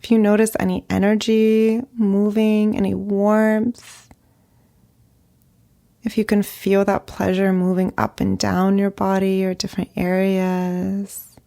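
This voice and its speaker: A woman leading a guided meditation as a therapist, speaking gently in short phrases with long pauses. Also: medium pitch, little pitch movement, vocal fry. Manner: slow, gentle, pausing between short phrases.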